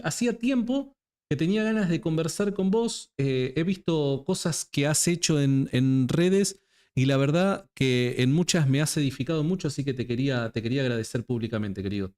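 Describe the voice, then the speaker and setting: A man's voice: a little high, animated, moderate pace, a little mellow. A man with an Argentine accent, hosting a casual interview in a colloquial, affectionate way and repeating words for emphasis. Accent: Argentine (Rioplatense) Spanish. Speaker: interviewer, host.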